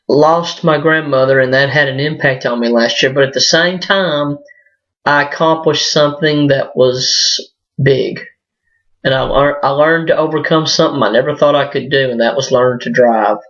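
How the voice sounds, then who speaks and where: A hoarse man still recovering from a sinus illness, talking through a casual personal vlog with lots of fillers and restarts. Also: mid-range voice, a bit bright. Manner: halting, rambling, many fillers and restarts. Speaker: vlogger. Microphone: built-in device mic, no external microphone. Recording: dull lo-fi built-in mic.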